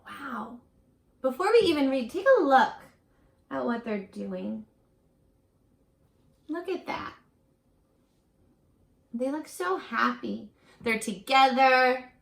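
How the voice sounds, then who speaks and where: A woman reads a children's picture book aloud in a slow, very bright voice, like a teacher speaking straight to her pupils. She is expressive and encouraging, stopping for little questions and asides. The room adds a noticeable echo. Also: high-pitched, vocal fry. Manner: encouraging, expressive, talking directly to young children. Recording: roomy echo.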